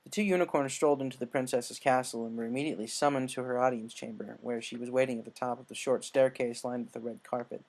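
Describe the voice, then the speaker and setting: A man's voice: mid-range voice, fairly even intonation, fast, few pauses, a little mellow, rough recording. A man reading scripted fan fiction aloud as the narrator. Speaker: story narrator.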